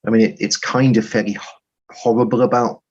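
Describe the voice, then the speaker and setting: Man, lowish husky voice, rambling halting storyteller, self-deprecating humour, interview guest, dull recording. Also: level tone, a little mellow. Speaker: guest writer.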